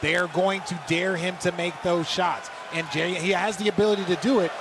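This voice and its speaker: Male basketball analyst, high-ish voice, noisy arena. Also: steady tone, a little fast, a crowd in the background. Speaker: color analyst, former player. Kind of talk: live game analysis, conversational. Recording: very noisy game broadcast relay. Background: basketball arena ambience, bouncing ball.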